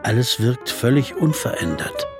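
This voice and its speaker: A man's voice: medium pitch, big pitch swings, moderate pace, close to an everyday voice. An actor playing a jazz pianist who narrates his own story in a dry, understated way and talks with friends. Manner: thoughtful first-person narration, dry and understated. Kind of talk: audio drama narration and dialogue. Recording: music bed underneath.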